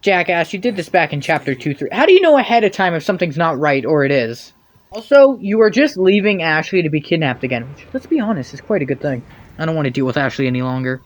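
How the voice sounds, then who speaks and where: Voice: medium pitch, very animated, quick pace. A person giving sarcastic, nitpicking running commentary on game cutscenes. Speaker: video commentator.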